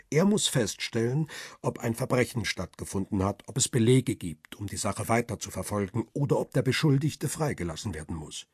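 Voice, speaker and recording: A middle-aged photographer telling his own story in a radio crime drama. His voice is lowish and husky, with a very dry studio sound. The delivery is measured, wry and reflective. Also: a little mellow, no echo. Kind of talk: first-person radio drama narration.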